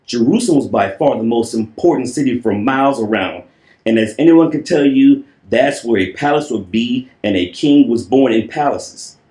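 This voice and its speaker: A man's voice: mid-range voice, animated, moderate pace, mellow. A man reading a children's storybook aloud in a playful, theatrical way, stretching and repeating words for effect. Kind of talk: animated storybook read-aloud with playful asides. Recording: roomy, echoing voice recording.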